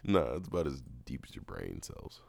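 Podcast co-host, young man, raspy low voice with lots of vocal fry, loose banter. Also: very animated, normal speed, darkish tone. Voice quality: raspy, deep. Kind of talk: casual banter.

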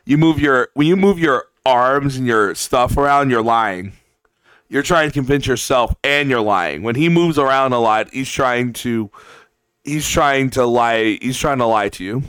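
A man's voice: medium pitch, measured pace, fairly bright tone, smooth, no room sound, studio quality. A man sarcastically heckling and mocking a video clip as he comments on it.

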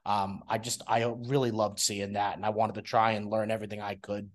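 A man's voice: lowish voice, flat delivery, fairly quick. A young man hosting a soccer podcast, chatting casually with a guest, with friendly rambling talk full of fillers and restarts. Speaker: podcast host. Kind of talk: casual interview chat.

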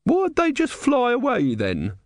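A man's voice: very high voice, wildly swooping pitch, slow pace, darkish tone, no echo, clean studio sound, pitched above the performer's own voice, exaggerated voice. A man voicing a pious, easily offended young woman with a scolding manner for a storybook. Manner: hot-tempered, scolding.

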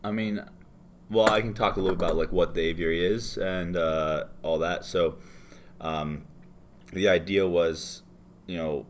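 A man with a low, deep voice, hungover and rambling a little as he tells stories in a relaxed interview. He speaks loosely and with animation, and a faint hiss of background ambience sits under the recording. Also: unhurried. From United States. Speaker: interview guest, bartender. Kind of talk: casual interview chat.

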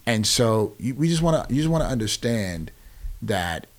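A man's voice: medium pitch, sing-song, moderate pace. An American man in his forties explaining things conversationally as an interview guest, emphatically and often checking that the listener follows. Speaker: podcast guest, nonprofit founder.

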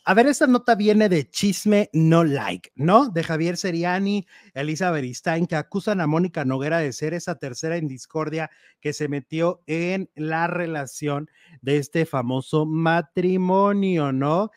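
A man co-hosting a casual gossip show, animated and chatty as he reads out and comments on news items. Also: fairly high pitch, a little slow, no room sound, clean studio sound.

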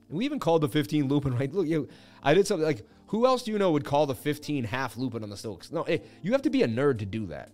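A man hosting a crypto livestream, losing his voice, talking in hyped, boastful banter with his viewers. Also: fairly high pitch. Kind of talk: casual livestream market commentary.

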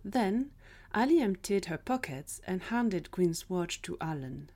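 A woman's voice: lowish voice, lively intonation, unhurried, mellow. A woman reading scripted third-person narration for an audio drama.

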